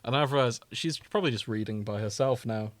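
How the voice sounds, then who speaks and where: A man's voice: mid-range voice, fairly quick, dry recording. A man co-hosting a casual chat show, talking in a teasing, conversational way. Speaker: podcast co-host.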